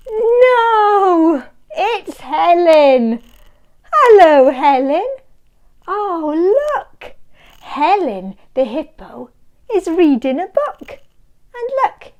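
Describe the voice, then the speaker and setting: A woman reads a picture book to little ones in a high, bright-toned voice that swoops up and down. She takes every word slowly and warmly drawn out, teasing with questions and dropping to a hush before counting to three. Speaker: storybook reader. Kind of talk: reading a picture book aloud to small children.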